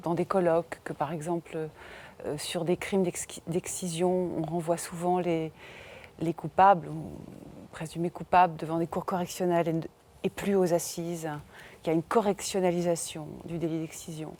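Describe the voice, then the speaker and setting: Impassioned low-voiced woman commentator. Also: flat delivery, moderate pace, a little mellow, a little hiss or hum. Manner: impassioned, searching for words. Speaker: philosopher commentator. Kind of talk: closing commentary. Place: TV studio.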